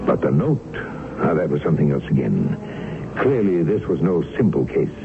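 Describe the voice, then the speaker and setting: An actor playing a composed, brilliant Victorian doctor in a radio mystery, speaking in formal, deliberate phrases. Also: male voice, rumbling low, big pitch swings, vocal fry, exaggerated voice. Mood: reflective narrating. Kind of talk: old-time radio mystery drama acting and narration. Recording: muffled radio recording with strong noise.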